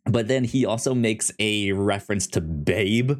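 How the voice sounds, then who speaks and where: A podcast co-host of unclear sex chatting casually about films in a halting, repetitive way with many false starts, sometimes excitable. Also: medium pitch. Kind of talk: casual film review chat.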